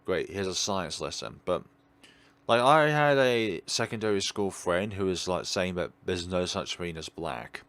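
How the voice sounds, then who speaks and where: Deep-voiced man with lively, swinging intonation. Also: measured pace, no room sound. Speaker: let's play host. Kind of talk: reading a visual novel aloud with rambling off-script commentary.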